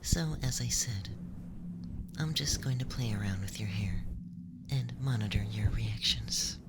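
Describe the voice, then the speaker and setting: A man voicing a cool, analytical alien scientist in a whispered ASMR roleplay, measured and detached with a sly teasing streak. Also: on the low side, lightly acted. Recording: loud background noise and ambience.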